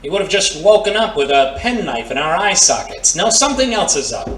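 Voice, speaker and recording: A man's voice: high voice, big pitch swings, brisk, fairly bright tone. A man playing a brash, wisecracking ex-thief in a pulp adventure audio drama. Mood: wary, serious. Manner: wisecracking, slangy tough-guy talk. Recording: roomy, distant mic, faint noise.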